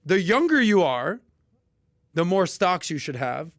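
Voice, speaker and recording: A man hosting a casual podcast and explaining investing in an emphatic, animated way, with sudden loud bursts. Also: high voice, measured pace, no echo, clean studio sound. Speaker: podcast host.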